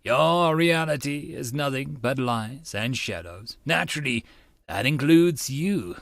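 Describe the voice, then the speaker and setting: A man's voice: mid-range voice, lively intonation, a little slow, gravelly, fairly bright tone, dry recording. A man voicing a grandiose young mad scientist from a visual novel, theatrical, pompous and condescending. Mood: pompous, menacing.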